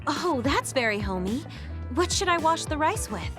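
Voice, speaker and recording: A woman playing a sweet, guileless young woman in a video game, speaking gently and politely. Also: high-pitched, very animated, moderate pace. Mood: cheerful, eager. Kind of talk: English video game voice acting. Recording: faint music behind the voice.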